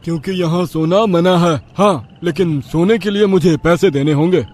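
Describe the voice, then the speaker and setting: A man voicing a rude, greedy policeman who talks in a gruff, bossy way, in a cartoon story. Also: on the high side, normal speed, a little mellow, lightly acted.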